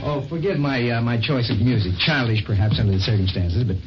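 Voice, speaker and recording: A man's voice: medium pitch, fairly quick, muffled recording, natural voice. Suave 1950s radio leading man, played by an actor, music behind. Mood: suave, sardonic. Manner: urbane, theatrical. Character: suave, flippant terminally ill advertising executive hiding behind a mask. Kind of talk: 1950s radio drama acting.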